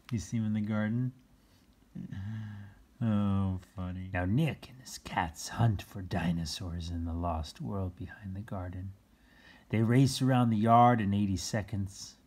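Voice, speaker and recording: A children's read-aloud host with a husky voice, reading a picture book and adding playful exclamations and explanations for young listeners. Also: low-pitched, slow pace, a little mellow. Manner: exclaims, gasps, chuckles and explains words to children.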